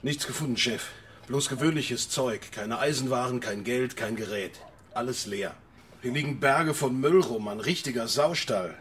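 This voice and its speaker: A man's voice: mid-range voice, normal speed, fairly bright tone, a little hiss or hum, natural voice. An actor playing an eager subordinate policeman in a radio play. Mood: businesslike. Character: eager subordinate policeman reporting to his boss. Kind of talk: radio drama acting.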